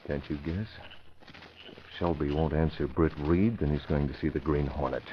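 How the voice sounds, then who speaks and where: An actor playing a calm, commanding masked crime fighter who speaks in a firm, clipped way, in 1940s radio acting style. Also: male voice, rumbling low, normal speed. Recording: dull old radio broadcast with hiss.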